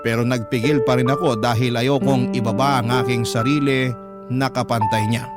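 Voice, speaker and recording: A man's voice: medium pitch, steady tone, moderate pace. A man reading a listener's letter as emotional scripted narration for a radio drama. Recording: loud background music under narration.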